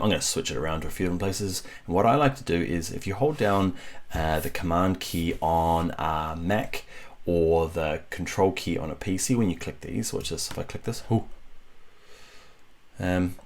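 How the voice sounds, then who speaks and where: A man with a deep voice, talking softly at home at night through a casual tutorial, rambling with many fillers. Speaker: web developer. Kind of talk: casual screen-recorded tutorial. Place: home at night while family sleeps. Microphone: computer mic while screen recording.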